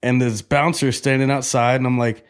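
A man's voice: mid-range voice, flat delivery, moderate pace, clear, resonant tone. A man in his twenties hosting a podcast, telling a long story in a loose, joking way and swearing freely. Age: 20s. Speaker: podcast host. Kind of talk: casual chat.

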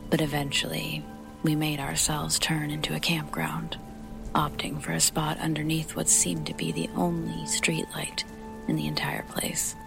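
A woman reading a first-person horror story as scripted narration, in a breathy, near-whispered, creaky voice, with music and rain behind her. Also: sits low, monotone, moderate pace.

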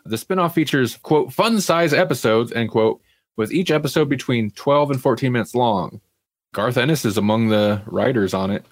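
A man's voice: mid-range voice, moderate pace, dry recording. A man hosting a podcast, casually chatting through entertainment news and sometimes reading aloud, with wry, self-deprecating humor. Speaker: podcast host.

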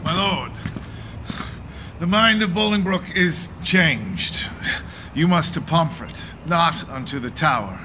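A man acting a stern, unmoved nobleman in a Shakespearean radio drama, speaking in a formal, commanding, deliberate way. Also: a little high, noisy background. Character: stern, unmoved nobleman delivering royal orders.

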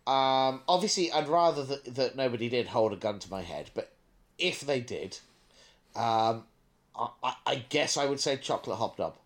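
Man, lively wry podcast chat. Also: medium pitch, moderate pace. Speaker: podcast co-host. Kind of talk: casual banter.

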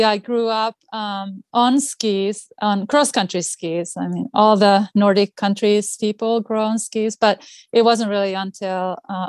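A middle-aged woman with a Finnish accent talks casually as a podcast guest. Her voice sits at an average pitch, in a dry recording free of room echo. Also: darkish tone. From Finland. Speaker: podcast guest, outdoor educator. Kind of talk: casual interview chat.

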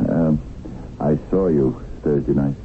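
Low male voice, smitten businessman, awkward, hesitant stammer, 1970s radio drama, narrow hissy recording. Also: measured pace. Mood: nervous, smitten.

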